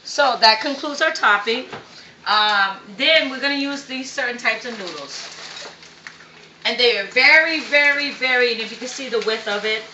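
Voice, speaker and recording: A woman born in Vietnam walks viewers through a recipe in her home kitchen, chatty and step by step. Her voice is bright, and the kitchen sounds roomy and echoey, with a faint hum of background noise. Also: fairly high pitch, moderate pace, dull audio. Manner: chatty, explains step by step, frequent okay checks. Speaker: cooking show host. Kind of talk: home cooking demonstration.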